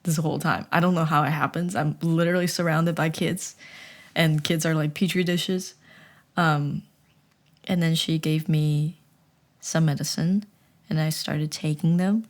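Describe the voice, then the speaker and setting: A woman's voice: sits low, fairly even intonation, unhurried. A young woman vlogging in a casual, chatty way, telling a story with self-mocking humor and many restarts.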